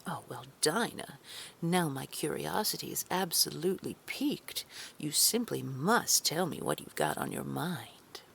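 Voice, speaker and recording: An actress playing a controlling, menacing female boss in an audio drama, with syrupy, condescending manner and a creaky voice that drops toward a whisper. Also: sits low, lively intonation, unhurried, a bit bright, slight background noise, full character voice. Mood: sweetly menacing. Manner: syrupy endearments, condescending, veiled threat. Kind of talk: scripted audio drama roleplay.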